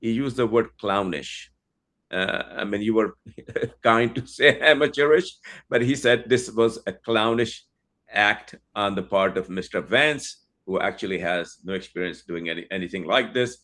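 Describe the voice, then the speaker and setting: A man's voice: on the low side, normal speed, pushed and bright. A man from India interviewing a guest, speaking conversationally with many hesitations and fillers and the odd chuckle.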